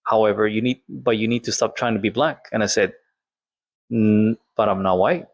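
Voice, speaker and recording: A man's voice: fairly low pitch, normal speed, no room sound. Man telling a story, dry sound. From Colombia. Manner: candid, conversational, quotes others in dialogue. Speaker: vlogger. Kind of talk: personal storytelling monologue.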